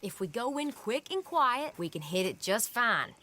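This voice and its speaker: Tough gunslinger woman, American Western drawl, sharp forceful voice, slightly high, plain-spoken and sure of herself. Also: sing-song, normal speed, a little hiss or hum. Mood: urging. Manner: blunt, plain-spoken, confident. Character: tough, no-nonsense female American Old West outlaw. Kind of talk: video game cutscene acting.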